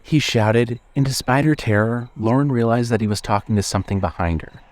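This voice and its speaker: A man reading scripted horror fiction as narrator, in a measured storytelling manner. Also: medium pitch, darkish tone, dry recording.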